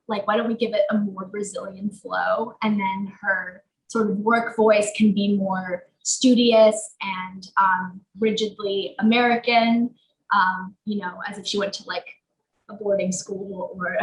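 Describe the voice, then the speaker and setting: A woman's voice: medium pitch, flat delivery, unhurried. A young adult woman actor whose first language is Portuguese, talking casually in an interview with many fillers. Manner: chatty, lots of fillers like "um" and "like". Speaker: actor guest. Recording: roomy echo.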